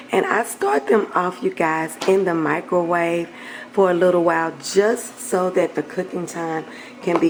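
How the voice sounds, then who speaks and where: Hoarse, gravelly-voiced woman cooking. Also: fairly low pitch, darkish tone, rough recording. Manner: chatty, affectionate with viewers, clears throat often. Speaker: cooking channel host. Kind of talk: casual cooking vlog chat. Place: home kitchen.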